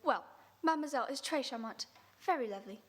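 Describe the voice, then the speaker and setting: A woman playing a chatty, flattering French lady's maid who speaks broken English with a French accent, in an audio drama. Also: high-pitched, fast talker. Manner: lively, flattering. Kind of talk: radio drama acting.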